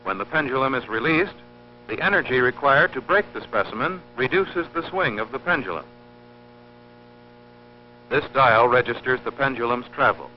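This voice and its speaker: A man narrating an instructional film in a formal, scripted, measured and precise teaching manner. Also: medium pitch, lively intonation, faint music behind. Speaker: narrator of an industrial training film. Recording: dull lo-fi old film soundtrack, humming.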